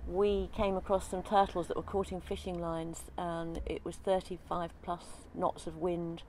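Chatty older woman, lowish voice. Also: level tone, moderate pace, darkish tone, slight background noise. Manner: chatty, thinks aloud with many ums. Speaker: interviewee, sailor. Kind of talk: casual interview chat. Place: outdoors in a leafy garden.

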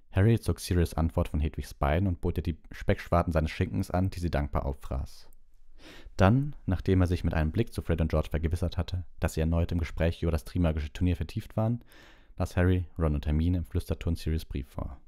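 Low, mellow male audiobook narrator. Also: dry recording, studio quality.

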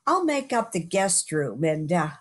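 Older woman as stubborn newspaperwoman, radio drama, husky, theatrical, very wide pitch swings, slow delivery. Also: very animated. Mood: flustered, dismissive. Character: stubborn, exasperated newspaperwoman, George's boss. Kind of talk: old-time radio play acting. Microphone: video call.

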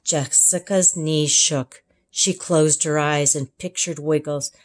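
A woman narrating a children's storybook as a kindergarten teacher, expressive, in clearly separated phrases. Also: low-pitched, takes it slowly. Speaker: kindergarten teacher reading aloud. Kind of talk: storybook read-aloud narration.

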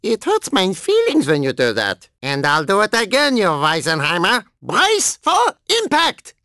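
A man voicing a deranged, gleefully violent and whiny German cyborg racer in a video game, with an exaggerated German accent and an excitable, theatrical delivery. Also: high-pitched, measured pace, dry recording, clean studio sound, full character voice. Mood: excitable, menacing. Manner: theatrical, excitable, tacking questioning tags onto remarks. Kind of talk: cartoon video game character clips.

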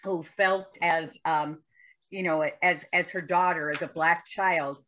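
Earnest low-voiced woman on a lo-fi call. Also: fairly even intonation, measured pace, background noise. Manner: earnest, recites a poem with conviction. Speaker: workshop participant. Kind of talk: personal story in discussion. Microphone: video call.

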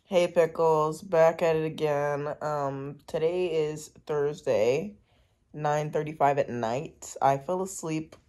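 A woman's voice: low-pitched, slow pace. A young woman with a slightly nasal, congested voice, chatting casually to her phone camera for a family vlog. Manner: chatty, relaxed, talks to camera. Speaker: family vlogger. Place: car, restaurant and home. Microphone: handheld phone camera. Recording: phone video with background noise.

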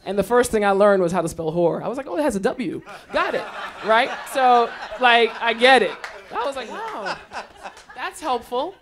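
Panel moderator, woman, 40s, from Trinidad, lecture hall mic, talkative and lively storyteller. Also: on the high side, moderate pace, a crowd in the background. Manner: chatty, storytelling, animated laughter. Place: lecture hall on a small stage.

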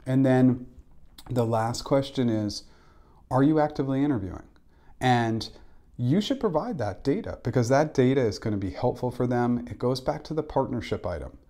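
A man's voice: medium pitch, lively intonation, moderate pace, dark tone. A man in his forties giving instructional advice to camera as an interview coach, direct and emphatic on key points. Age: 40s. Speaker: interview coach and former recruiter. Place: small indoor room.

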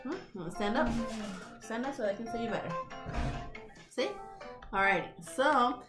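A woman's voice: on the high side, animated, normal speed, reverberant, over loud music. A young woman speaking as a teacher, guiding a children's read-along with simple, encouraging prompts. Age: young adult.

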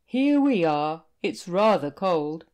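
A British woman voicing a kind, helpful big whale in a picture book, speaking slowly and expressively. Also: fairly low pitch, lo-fi sound. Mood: confident. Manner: slow, deliberate, expressive.

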